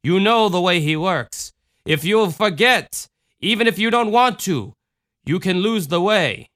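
A man voicing a gentle, solemn motherly woman who comforts a child, speaking slowly and deliberately. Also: high-pitched, voice pushed up. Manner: slow, calm, deliberate speech.

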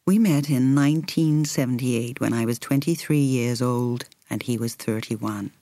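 A woman reading an audiobook in the role of a reflective woman in her thirties recalling her romance, in a measured, intimate, expressive way. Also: extremely low pitch, dry recording. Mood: fond, reflective. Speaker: audiobook reader. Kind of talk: scripted first-person narration.

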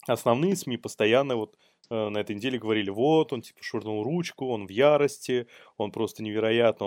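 A man's voice: medium pitch, darkish tone, no echo, clean studio sound. A man co-hosting a podcast, casually riffing on the news in slangy, rambling talk. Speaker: podcast co-host. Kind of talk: casual comic news chat.

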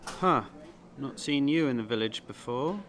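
A man acts out a pompous local businessman in an audio drama. His voice is bright and forceful, at a middling pitch. He comes across as boastful, sociable and very pleased with his standing. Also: animated, a little slow. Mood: self-important. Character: self-important male village businessman, boastful and sociable.

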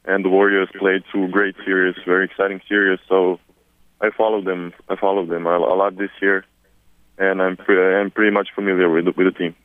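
Low, flat Serbian-accented young man on a phone line. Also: dry recording. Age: 20s. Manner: halting, repeats words, many fillers. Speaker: newly drafted basketball player. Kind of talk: press conference call answers. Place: at home, far from the press. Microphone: telephone. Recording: tinny dry phone line.

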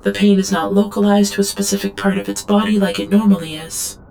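A woman's voice: medium pitch, flat delivery, fairly quick, gravelly, darkish tone, soft background music, rough recording. A woman playing an obedient female servant drone in an audio drama, reporting in a stilted, clinical third-person manner. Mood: clinical, subdued. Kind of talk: scripted audio drama monologue.

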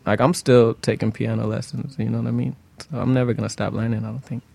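A man's voice: mid-range voice, level tone, fast, creaky, warm tone. An American man chatting casually as an interview guest, earnest and reflective, often checking that he is understood. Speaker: guest singer and musician.

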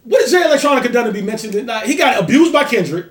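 A man's voice: extremely high pitch, lively intonation, racing. A man co-hosting a podcast with speech, arguing casually and emphatically and repeating phrases for stress. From Barbados. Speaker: podcast co-host. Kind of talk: heated casual group debate. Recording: echoey room.

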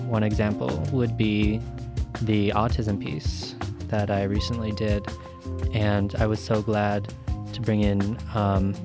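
Low flat-voiced young man over guitar. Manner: sincere, grateful, with ums. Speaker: youth radio reporter. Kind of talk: personal testimonial. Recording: music bed behind the voice.

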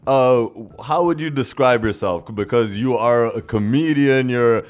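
A man's voice: mid-range voice, measured pace. A man hosting a casual chat, speaking in an affirming, slangy way. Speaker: podcast host, interviewer.

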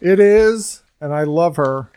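A man's voice: fairly high pitch, animated, slow pace, a little mellow, clean tone. Man in casual podcast banter. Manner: breaks into sing-song catchphrase impressions. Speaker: podcast co-host. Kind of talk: casual chat. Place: home basement.

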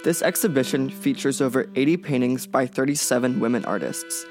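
A young man, a student presenter, reading scripted audio guide narration in a measured way. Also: mid-range voice, faint music behind. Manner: measured, read-aloud delivery.

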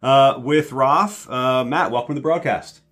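A man's voice: medium pitch, measured pace, fairly bright tone. A man hosting a church podcast, opening the episode and welcoming guests in a friendly way. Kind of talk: casual chat.

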